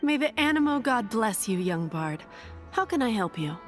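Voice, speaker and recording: A woman's voice: a little high, big pitch swings, normal speed, soft background music. A woman voicing a stern, pious church sister in a video game, speaking in a prim, formal way. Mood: polite.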